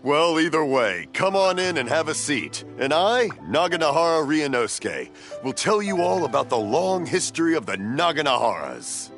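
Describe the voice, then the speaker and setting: A man's voice: fairly high pitch, very animated, normal speed, fairly bright tone, soft background music. A man playing a jovial old father in a video game, a hearty, long-winded storyteller. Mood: jovial, welcoming. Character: jovial, rambling old father, a fireworks maker. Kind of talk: animated video game dialogue acting.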